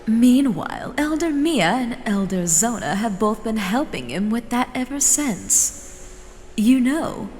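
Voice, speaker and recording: A woman voicing a gracious but chiding vampire elder in a fantasy audio drama, deliberate and theatrical. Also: mid-range voice. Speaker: voice actor. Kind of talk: scripted fantasy character dialogue. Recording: long reverb, faint background noise.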